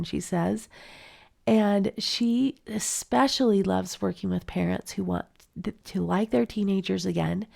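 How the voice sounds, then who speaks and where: Middle-aged lady podcast host, stuffy cold voice, a bit of vocal fry, upbeat and encouraging. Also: mid-range voice, mellow. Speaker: podcast host and life coach. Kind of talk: scripted podcast intro and announcements.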